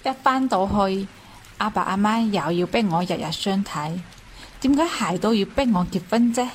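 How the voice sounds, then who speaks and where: A woman's voice: mid-range voice, animated, normal speed, lightly acted. A woman with a Cantonese accent playing an indignant single woman in a radio drama. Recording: faint background noise.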